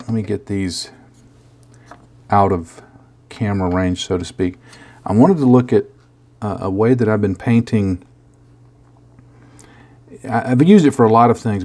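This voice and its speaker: Man, hoarse from a cold, rough voice, deep, dark tone, rambling self-deprecating tutor. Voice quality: rough, gravelly. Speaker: scale model painting tutor. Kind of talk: casual hobby tutorial.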